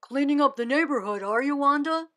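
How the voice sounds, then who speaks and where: A woman's voice: high voice, fairly quick, a bit bright, voice pushed up. A woman reading aloud voices a skeptical working man who speaks plainly and doubtfully.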